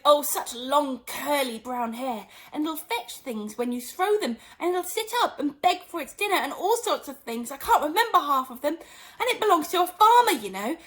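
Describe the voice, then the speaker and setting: A woman's voice: high-pitched, fairly bright tone, voice pushed up. A woman voicing a polite, chatty, curious little girl in a storybook, earnest and eager in manner.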